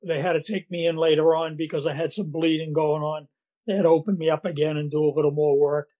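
An older man with a Boston accent and a scratchy voice, telling his recovery story at a meeting in a halting way, with many fillers and restarts. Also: on the high side, little pitch movement, dark tone. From Massachusetts, USA.

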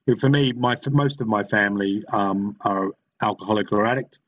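A middle-aged man with an Australian accent, sharing his story at a recovery meeting, talking haltingly with many pauses and restarts. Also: fairly low pitch, dull audio. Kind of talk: personal share at a support meeting.

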